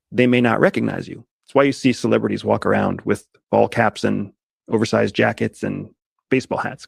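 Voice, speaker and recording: Mid-pitched man with a very gravelly voice, methodical explainer, dry close recording. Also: no echo. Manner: methodical, lays points out step by step. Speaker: guest, disguise expert. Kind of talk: casual podcast interview.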